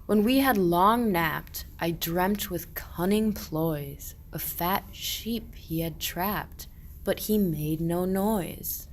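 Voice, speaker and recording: A man's voice: sits high, slow, slight background noise. A man acting a hearty, blunt shepherd in a comic radio play, declaiming rhymed verse theatrically. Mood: suspicious.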